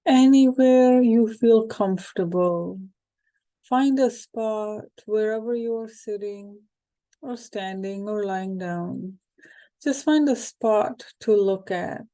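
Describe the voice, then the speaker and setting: A woman guiding a hypnosis meditation in a calm, unhurried, soothing way, with short phrases and pauses. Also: medium pitch. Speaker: hypnosis guide.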